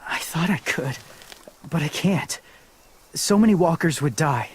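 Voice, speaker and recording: An actor playing a solemn, gentle male loner in a dramatic video game, speaking in an earnest, halting, sometimes hushed way. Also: fairly high pitch, lively intonation, a little hiss or hum. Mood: anguished refusal. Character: solemn, gentle male loner who believes walkers are more. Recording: music under the voice.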